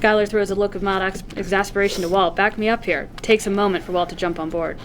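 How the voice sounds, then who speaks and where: A woman's voice: medium pitch, steady tone, quick pace. A woman narrating the stage directions of a drama script at a table read. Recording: faint background noise, room ambience.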